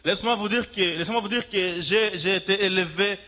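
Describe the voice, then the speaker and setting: A male interpreter relays a sermon phrase by phrase. His voice is high and forceful, and his delivery is halting, with repeats and rewordings as he keeps up with the preacher. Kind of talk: consecutive live interpretation.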